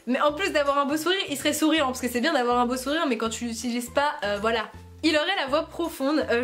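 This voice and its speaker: A young woman from France talks to her viewers in a loose, joking vlog style, laughing at herself as she goes. Her voice sits high, and faint music plays quietly behind her. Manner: playful, giggly, self-mocking. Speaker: YouTuber. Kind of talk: casual vlog chat to camera.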